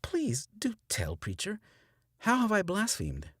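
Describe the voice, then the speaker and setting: A man voicing a tough woman survivor who stays eerily calm before turning menacing. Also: high-pitched, a little slow, dry recording, studio quality, voice pushed up, exaggerated voice. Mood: cool.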